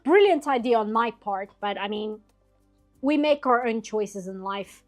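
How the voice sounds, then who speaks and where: A woman from Britain chatting casually while drawing on a stream, rambling and laughing often, her voice getting a bit hoarse late at night. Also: on the high side, expressive, measured pace, faint music behind.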